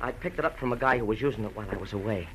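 An actor playing a nervous, bitter man just out of jail, speaking haltingly and repeating words in an old radio drama. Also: fairly low pitch, lightly acted. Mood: anxious. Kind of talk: 1940s-50s radio drama acting. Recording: old radio broadcast, faint noise.

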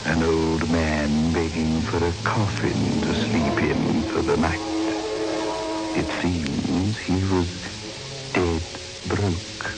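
A man playing a sinister, mock-genial horror host, narrating slowly and theatrically in a 1960s radio drama. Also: extremely low pitch, fairly even intonation, heavily acted, poor audio. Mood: ghoulish welcome. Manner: slow, deliberate, theatrical, macabre. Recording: old radio recording with loud music.

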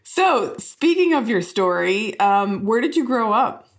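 A woman's voice: a little high, very animated, measured pace. American woman hosting a podcast interview. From Buffalo, New York, United States. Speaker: podcast host. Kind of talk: podcast intro and interview chat.

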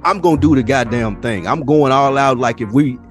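A man chats on a podcast in a loose, rambling way, often restarting his sentences and dropping the odd curse. His voice sits at an ordinary pitch. Music plays clearly underneath the whole conversation. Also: brisk. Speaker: podcast co-host. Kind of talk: casual chat.

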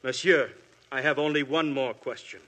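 A man's voice: medium pitch, steady tone, normal speed, pushed and bright, poor audio. A man playing a police inspector in a 1950s radio drama, polite but relentless in his questioning. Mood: probing. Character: persistent male police inspector. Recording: old radio broadcast.

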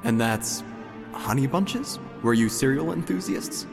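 An actor playing an earnest, wordy obituary writer who narrates an audio drama in a deliberate, deadpan way. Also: male voice, mid-range voice, creaky. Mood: nervous, curious. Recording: faint music behind.

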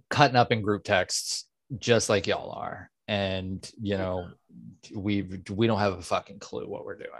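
Slow, rambling man in his late thirties. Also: on the low side, dry recording. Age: 30s. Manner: slow, rambling, hesitant with fillers. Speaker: podcast co-host. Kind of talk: casual chat.

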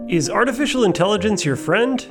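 Male promo voice, upbeat announcer read, slightly high, over loud music. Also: animated, brisk. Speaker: podcast co-host. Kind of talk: scripted podcast promo.

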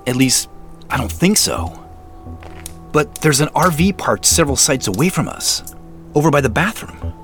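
An actor playing an excitable, uneasy but earnest camper in a naturalistic audio drama, speaking in halting, emphatic phrases. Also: male voice, on the high side, soft background music. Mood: uneasy, grave. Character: excitable, uneasy man on a camping trip, earnest.

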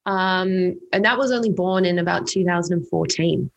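A woman's voice: on the low side, normal speed, vocal fry, lo-fi sound. A young woman from England who now speaks with an Australian accent, telling her story as a guest in a casual interview. She talks in a chatty way, with lots of fillers and repeated words. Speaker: company co-founder, guest.

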